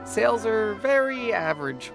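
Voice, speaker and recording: A woman's voice: a little high, very animated, moderate pace, creaky. A woman playing a humble drink seller in a video game, polite, chatty and deferential. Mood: flustered, deferential. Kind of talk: English video game voice acting. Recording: music behind the voice.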